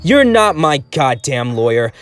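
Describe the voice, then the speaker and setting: A foul-mouthed mob hitman, all rage and defiance, as a male storyteller puts him on. He shouts and spits his words in a voice pushed far above the narrator's own. Faint music sits behind the storybook reading. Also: fairly high pitch, big pitch swings, measured pace, voice pushed up. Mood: defiant rage.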